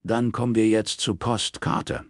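A man voicing a brisk, matter-of-fact head of a detective agency in an audiobook. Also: on the low side, fairly even intonation, gravelly, dry recording, clean studio sound, pitched above the performer's own voice. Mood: businesslike. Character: businesslike male detective agency boss.

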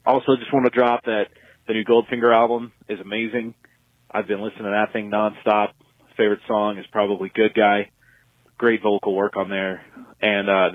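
A muffled voicemail recording of a man calling in as a fan. His voice is lowish and fairly even in tone, and he speaks casually and appreciatively. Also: no room sound. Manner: appreciative, steady. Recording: dull, dry voicemail phone recording.

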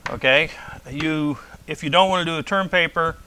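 A man's voice: fairly high pitch, normal speed, pushed and bright, clean tone. An older man lecturing to a class in a large hall, speaking conversationally through a lapel mic and often asking "okay?". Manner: conversational, rambling, checks in with "okay?". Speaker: university professor.

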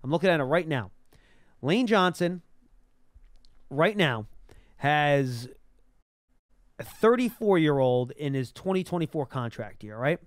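Male sports show host, higher voice, clean and smooth, emphatic explainer, dry close-mic studio sound. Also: expressive, unhurried, long pauses, dry recording. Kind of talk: live sports talk and analysis. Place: small indoor broadcast studio. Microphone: close broadcast mic on boom arm.

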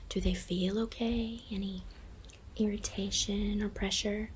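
A woman in her thirties whispering in an ASMR eye exam roleplay, hushed and breathy, gently guiding close to the microphone. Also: mid-range voice, steady tone, slight background noise. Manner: slow, hushed, gently instructing. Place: small indoor studio with dark backdrop.

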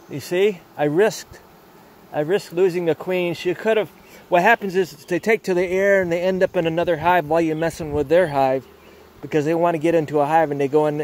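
A man's voice: a little high, fairly even intonation, normal speed, darkish tone. An older man beekeeper chatting casually outdoors while working his hives, rambling with frequent restarts. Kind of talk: casual hands-on demonstration. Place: outdoors among beehives in a yard.

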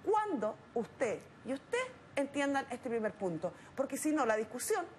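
A woman's voice: sits high, big pitch swings, unhurried, a little hiss or hum. A Chilean woman in her thirties acting as a TV show judge, lecturing bluntly with rhetorical questions in a studio. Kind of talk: stern courtroom lecturing. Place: TV studio set.

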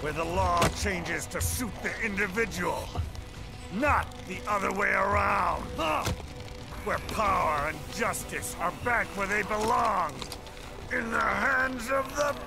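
A ranting villain senator. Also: male voice, high-pitched, takes it slowly, creaky, full character voice. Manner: bombastic shouting speech with heavy profanity. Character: brawny middle-aged male senator villain, ranting and fanatical. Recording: game audio with loud music behind.

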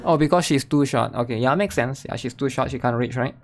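A man's voice: mid-range voice, a little fast. Casual young man reacting on stream. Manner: repeats questioning tags like "right?" and "guys". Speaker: streamer reacting to a game trailer. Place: indoor room, streaming at a desk. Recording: game trailer music behind voice.

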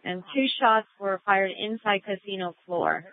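Female American police dispatcher, mid-pitched, procedural and clipped, heard through a thin radio channel. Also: a little slow, dry recording. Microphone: radio dispatch mic. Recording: narrow, tinny police scanner radio.

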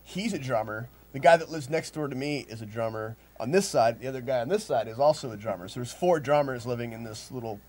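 A man's voice: mid-range voice, fast, few pauses, a little mellow. A man who plays drums, answering interview questions in a rambling, self-deprecating way. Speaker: drummer in a band. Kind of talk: casual interview chat.

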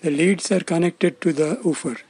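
A man's voice: on the high side, normal speed, darkish tone, slightly rough, soft background music. A man giving scripted, step-by-step narration as the presenter of a technical demonstration. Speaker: presenter of a loudspeaker testing demonstration.

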